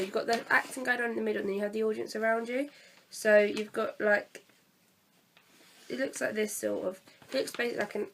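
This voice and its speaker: A teenage girl with a croaky voice from a cold, chatting casually and hesitantly to camera as a vlogger, pausing often to fill gaps. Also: medium pitch. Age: teens. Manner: chatty, hesitant, many fillers. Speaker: vlogger reviewing a theatre play. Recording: distant from the mic.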